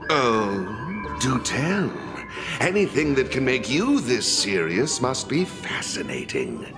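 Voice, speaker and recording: A suave, shrewd tavern gentleman talks in a mid-pitched voice that swings dramatically up and down, amused and knowing. It is theatrical game acting over background music. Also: wildly swooping pitch, slow, heavily acted. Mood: amused, intrigued. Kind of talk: English video game voice acting. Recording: music playing behind the voice.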